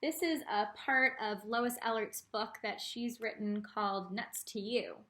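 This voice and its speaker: Female art teacher for a children's read-aloud: fairly high voice, expressive storytelling, speaks straight to the kids. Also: measured pace. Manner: expressive reading, addresses young listeners directly. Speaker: art teacher reading a picture book aloud.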